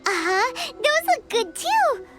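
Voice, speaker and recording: Super high squeaky little fairy sidekick, bubbly game voice, swoops all over, music behind. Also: female voice, very animated, slow, heavily acted. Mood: bubbly, eager. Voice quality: squeaky, childlike. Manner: refers to herself in third person, exclamatory. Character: tiny childlike female fairy companion, bubbly, food-loving, excitable. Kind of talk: video game character acting.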